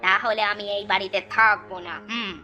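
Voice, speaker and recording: A woman's voice: medium pitch, flat delivery, a little fast, bright, forceful tone, dull audio, soft background music. A woman voicing a spiteful, sulky young village wife in a children's cartoon, petulant and sarcastic. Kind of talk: Bengali children's cartoon voice acting.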